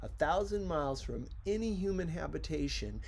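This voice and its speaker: A male narrator reads a storybook with great expression. His voice sits fairly high and has a husky grain, and it rises and falls widely through deliberate, dramatic phrases. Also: expressive, darkish tone. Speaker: audiobook narrator.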